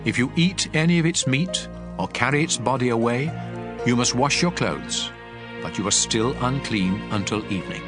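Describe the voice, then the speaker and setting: A man playing a solemn, commanding God, reading scripture laws in a measured, emphatic way over music. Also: mid-range voice, natural voice. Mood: solemn, instructive. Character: God speaking law, solemn, commanding male deity.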